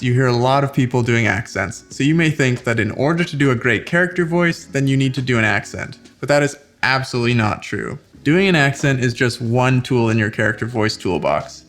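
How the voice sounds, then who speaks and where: A man's voice: mid-range voice, fairly bright tone. A man hosting a scripted how-to video, speaking in an instructional way with playful, demonstrative emphasis. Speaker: host giving tips for tabletop RPG character voices.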